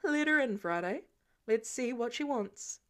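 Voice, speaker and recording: A woman's voice: on the high side, expressive, measured pace, a bit bright. A woman voicing a casual, wry male boss and inventor in a story reading. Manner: casual, teasing, glib.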